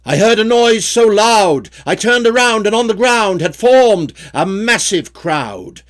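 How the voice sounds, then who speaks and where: A man reading his own story poems in a measured, theatrical way with a rhyming cadence. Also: high voice, big pitch swings, fairly bright tone. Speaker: poet reading own work. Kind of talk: dramatic poetry recitation.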